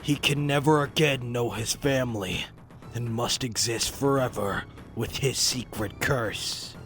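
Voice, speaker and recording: A man's voice: medium pitch, measured pace, a bit bright, faint music behind. A man reading a dramatic scripted narration.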